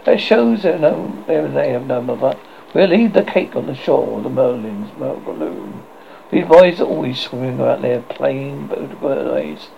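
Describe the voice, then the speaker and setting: A vain, menacing pirate captain, read by a man, growls his lines in a dramatic storybook way. The voice is gravelly and swoops widely in pitch. The recording sounds dull and lo-fi. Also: sing-song, moderate pace, a little hiss or hum. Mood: scheming.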